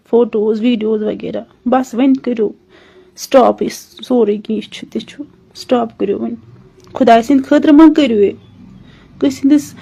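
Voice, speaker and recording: A woman's voice: on the high side, vocal fry, mellow, husky. A woman with a Kashmiri accent making a pleading personal appeal in a recorded phone message, often repeating her requests.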